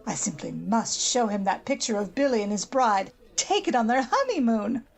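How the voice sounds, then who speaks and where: Older snobbish American matron, radio drama, very animated pitch, gravelly creak, bossy, haughty, gushing. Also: female voice, moderate pace. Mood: effusive. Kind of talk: old-time radio drama acting.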